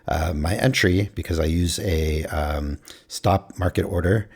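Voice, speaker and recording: A man reviewing his futures trades in a rambling, casual way with many fillers, his voice going hoarse. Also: low-pitched, a little mellow. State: losing his voice, clearing throat.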